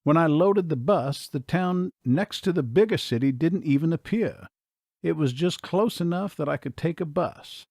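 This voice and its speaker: A man's voice: fairly high pitch, animated, normal speed, darkish tone, no room sound, pitched above the performer's own voice. A guarded young woman from Texas who has run away from home, voiced by a man. She answers in a hesitant, evasive way. The sound is dry and close. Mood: explaining.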